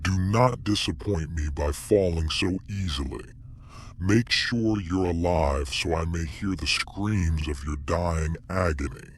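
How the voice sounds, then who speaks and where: A man voicing a cruel, gloating monster in a motion comic, with a husky rasp and slow, theatrical threats. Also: deep voice, no echo. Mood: gloating menace. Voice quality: husky, rasping. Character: menacing male monster familiar, cruel and gloating. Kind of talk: motion comic villain acting.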